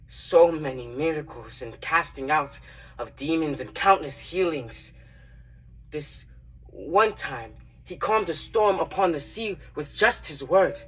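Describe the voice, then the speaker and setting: A young man playing a reverent, earnest disciple who recounts his memories in a halting, reflective dramatic monologue. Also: on the high side, a bit bright, husky, recorded from a distance, pitched above the performer's own voice, poor audio. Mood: awed. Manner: halting, reflective, with long pauses.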